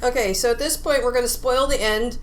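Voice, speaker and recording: A woman co-hosting a casual discussion and explaining a game in an amused, halting way. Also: on the high side, steady tone. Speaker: podcast co-host.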